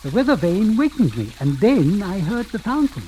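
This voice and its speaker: Casting: blackmailing servant, deferential and precise with a swooping melody, vintage radio drama, slightly noisy recording. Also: male voice, high voice, big pitch swings, warm tone. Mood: slyly insinuating. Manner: formal, obsequious, measured hesitations. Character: deferential, sly blackmailing manservant, coolly polite. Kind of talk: 1940s radio acting.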